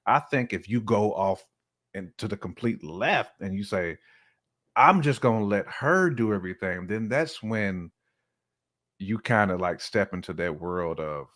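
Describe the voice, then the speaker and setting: A man's voice: lowish voice, lively intonation, measured pace, a bit bright. A man giving his opinions in casual podcast conversation, restating his points with emphasis. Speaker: podcast speaker.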